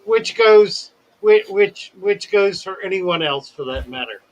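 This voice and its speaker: An older man hosting a casual livestream chat, talking in a slow, wordy and deliberate way and telling stories. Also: high voice, lively intonation, poor audio. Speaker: livestream host.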